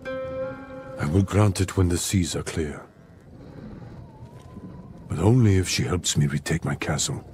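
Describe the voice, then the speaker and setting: A man playing a stern, commanding older samurai lord in a game drama, speaking in a formal, measured, authoritative way. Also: sits low, very animated, a little mellow, soft background music, full character voice. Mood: stern, guarded. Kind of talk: cinematic game drama acting.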